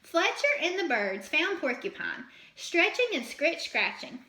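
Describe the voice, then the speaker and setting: Animated, high-voiced woman reading a storybook. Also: unhurried, roomy sound, rough recording. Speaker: teacher reading a storybook aloud. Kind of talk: expressive children's read-aloud.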